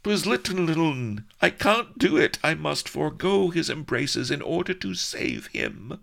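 A man's voice: on the high side, wildly swooping pitch, a little slow, gravelly, a bit bright, pitched above the performer's own voice, full character voice. A man voicing a melodramatic, addled young princess who wails and swoops into shrieks. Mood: anguished. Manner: wailing, overwrought, swooping into shrieks.

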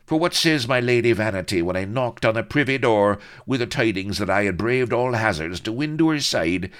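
A man's voice: lowish voice, wildly swooping pitch, unhurried, voice pushed up. A man voicing a bad-tempered, boastful rogue colonel in a comic audiobook, snappish and offhand. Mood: careless, bitter.

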